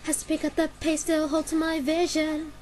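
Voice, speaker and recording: A woman's voice: high voice, monotone, normal speed, darkish tone. A woman singing an amateur fan cover of a musical cartoon song, with music behind her. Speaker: fan singer and dubber.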